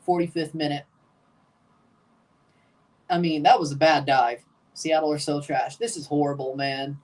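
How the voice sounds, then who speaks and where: A man streaming a live match watchalong, chatting casually and calling goals with excitement while guarding a strained voice. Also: a little high, unhurried, distant mic, low-quality recording.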